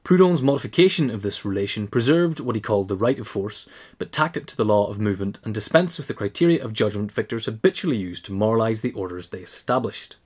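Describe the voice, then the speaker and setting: A man narrating a scripted essay in a formal, measured way. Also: on the low side, animated, darkish tone, husky. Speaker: reader.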